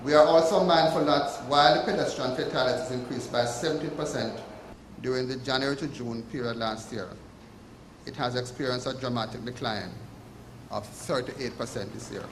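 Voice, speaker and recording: A man with a Jamaican accent delivers a formal prepared speech in a measured, emphatic way. His voice bounces around an echoey hotel function room, with faint ambience underneath. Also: medium pitch, noisy background. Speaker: ceremony speaker.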